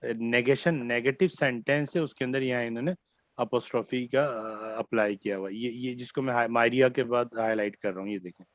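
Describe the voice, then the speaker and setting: A man with a Pakistani accent teaches French over a video call. He explains slowly and patiently, mixing Hindi-Urdu with English and pausing on fillers. His voice sits at a middling pitch, and the room sounds dry and close. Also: no room sound. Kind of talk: online class lesson.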